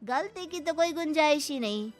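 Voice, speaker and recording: A woman's voice: sits high, animated, normal speed. A woman voicing a shrewd, bossy older father of a bride, taunting and threatening, in a cartoon family story. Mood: smug, stern.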